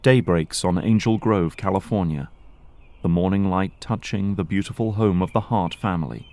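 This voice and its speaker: A man's voice: sits low, normal speed, darkish tone, dry recording. A man reading scripted narration for an audio drama.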